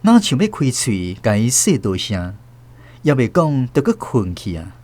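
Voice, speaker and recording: A man narrating a radio drama as host, with expressive storytelling. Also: mid-range voice, a little slow.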